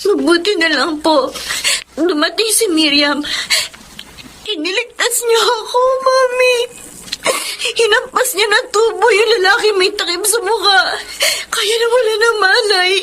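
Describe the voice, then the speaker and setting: Very high-voiced tearful young girl. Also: measured pace, bright, forceful tone, slight background noise, exaggerated voice. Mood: tearful, relieved. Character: young girl tearfully recounting her rescue to her parents. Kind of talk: Filipino radio drama acting.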